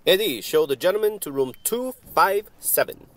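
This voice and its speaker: A man's voice: high-pitched, expressive, moderate pace, a bit bright, no echo, voice pushed up. A man voicing an officious, theatrical hotel clerk in a radio play, formal and commanding.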